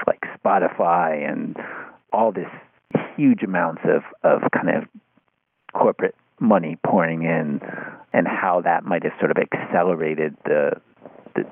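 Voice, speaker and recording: Low, gravelly man on a phone line. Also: no room sound. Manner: hesitant, repeats words and hedges as he thinks aloud. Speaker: interview guest, writer. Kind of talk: conversational interview answers. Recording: thin, dry phone-like line.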